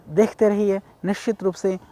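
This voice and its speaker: High-voiced Indian man teaching ayurveda. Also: steady tone, warm tone. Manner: measured, explanatory, addresses viewers directly. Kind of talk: spoken health talk.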